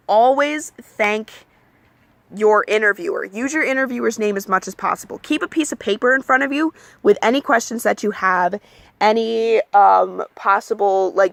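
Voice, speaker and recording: A young adult woman talks straight to her viewers, sharing tips in a loose, candid way with lots of ums and likes. Her voice is bright with a gravelly edge of vocal fry. The sound is dry and close, with no room around it. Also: medium pitch, no echo. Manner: calls her own voice monotone. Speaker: vlogger giving application advice. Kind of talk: casual advice vlog.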